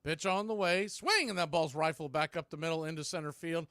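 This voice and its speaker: A man calling live baseball play-by-play in an excitable, folksy radio style. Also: fairly high pitch, fairly quick, no echo, studio quality. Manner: excitable, folksy exclamations on big plays.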